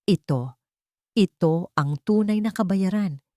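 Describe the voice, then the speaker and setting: A woman's voice: medium pitch, slow pace, warm tone, no room sound, studio quality. A woman reading a scripted dramatic story as an audiobook narrator.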